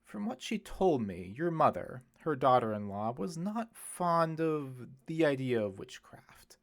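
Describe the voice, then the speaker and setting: A man acts out a genial older priest from an audiobook novel. He is a wry old hippie at heart, mid-pitched, wordy and gently eloquent. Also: very animated, a little slow. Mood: gentle, reflective.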